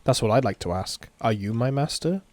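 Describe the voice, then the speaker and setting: A man's voice: mid-range voice, expressive, fairly quick, darkish tone, lightly acted. A man voicing a cocky, sardonic male spirit servant from a visual novel, dry and condescending. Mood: questioning, cool.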